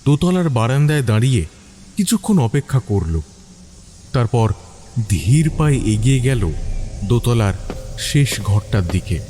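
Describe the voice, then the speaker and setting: A male narrator with a mellow, medium-pitched voice and very expressive intonation tells a suspense story. He phrases deliberately, pausing for drama, over faint ambient noise.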